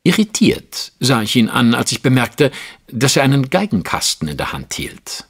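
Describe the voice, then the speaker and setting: A man's voice: medium pitch, huge pitch swings, moderate pace, slightly rough, exaggerated voice. An actor playing a refined, self-assured Victorian detective, narrating his case in an audio drama with dry, formal, precise wit. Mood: awed. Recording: faint music under the voice. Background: music.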